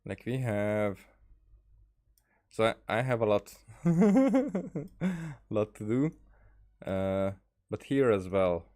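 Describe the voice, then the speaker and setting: A man livestreaming a game in a casual, rambling way, often halting and trailing off mid-thought. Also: lowish voice, a little mellow. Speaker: game streamer. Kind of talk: casual livestream chat over gameplay.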